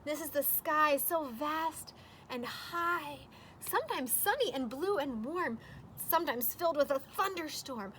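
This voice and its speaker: A woman's voice: high-pitched, noisy background. A woman reading a children's storybook aloud expressively, with dramatic emphasis on the rhymes and casual asides. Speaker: storybook reader.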